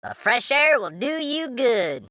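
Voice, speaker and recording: A man's voice: very high voice, big pitch swings, takes it slowly, sharp tone, no echo, studio quality, pitched above the performer's own voice, full character voice. A man voicing a humble, friendly country mouse with an earnest, homely manner for a children's storybook. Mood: inviting.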